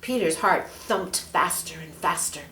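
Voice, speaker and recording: A woman reading a children's story aloud expressively, measured and leaning on key words. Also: on the low side, pushed and bright. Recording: echoey room.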